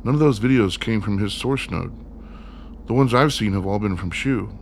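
A man acting as an earnest, defiant son in a radio play. His voice is fairly low, his delivery direct and insistent, with slight noise underneath. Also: normal speed, natural voice. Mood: insistent, reasoning. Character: earnest, defiant son bargaining for their lives.

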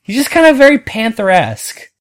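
A woman's voice: a little high, huge pitch swings, brisk. A woman co-hosting a casual podcast, gushing and rambling as she chats. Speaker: podcast co-host. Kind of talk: casual chat.

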